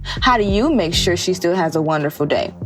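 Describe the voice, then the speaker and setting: Feisty young American woman, very animated acted. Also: fairly low pitch, fairly quick, music in the background, natural voice. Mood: playful challenge. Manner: sharp-tongued, bantering. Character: feisty, sassy young woman, quick to scold. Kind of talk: casual audio drama dialogue.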